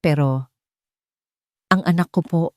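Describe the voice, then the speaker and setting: A woman's voice: sits low, slow, a little mellow, no echo, clean studio sound. A woman voicing a humble, timid housemaid and mother in an audiobook, polite and hesitant.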